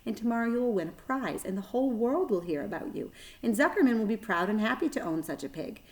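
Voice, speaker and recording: A woman voicing a gentle, wise, motherly female spider from a storybook, patient and reassuring. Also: on the high side, lively intonation, fast talker, low-quality recording. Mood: soothing.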